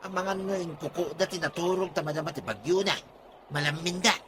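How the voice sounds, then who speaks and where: Actor as high-pitched argumentative Ilocano husband. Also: male voice, a little fast, natural voice. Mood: complaining. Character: argumentative village husband in a comedy. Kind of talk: Filipino radio comedy drama acting. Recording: radio drama with clear background noise.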